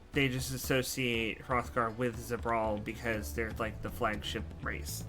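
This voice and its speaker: A male gameplay host with a bright, forward voice of average pitch. He chats casually and irreverently close to the mic, with faint game music behind. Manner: wry, irreverent. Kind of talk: casual playthrough commentary.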